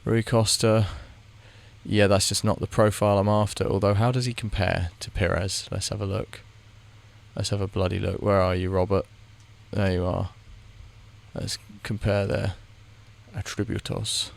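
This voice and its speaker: Man, deep voice, gravelly, casual gaming commentary, thinking aloud. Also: level tone, moderate pace. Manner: thinks aloud, muttering reactions to the screen; rambling, with frequent restarts. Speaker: gaming video creator. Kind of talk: casual commentary while playing a game.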